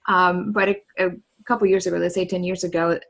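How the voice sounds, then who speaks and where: A woman in her thirties from East Germany with a German accent, a podcast guest telling her story in a relaxed, earnest way and laughing easily. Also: on the low side, brisk, gravelly. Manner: earnest and reflective, with frequent laughs and "like" fillers. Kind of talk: casual conversational storytelling.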